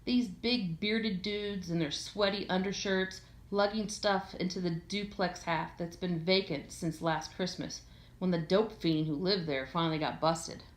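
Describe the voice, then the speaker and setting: A woman reading a first-person story aloud in an expressive, conversational way with a sardonic, slangy delivery. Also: lowish voice, a little slow. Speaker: audiobook reader. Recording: echoey room.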